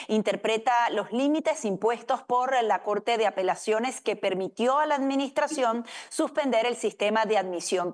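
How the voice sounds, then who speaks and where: A woman presenting news formally and putting questions to analysts as the program's host. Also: a little high.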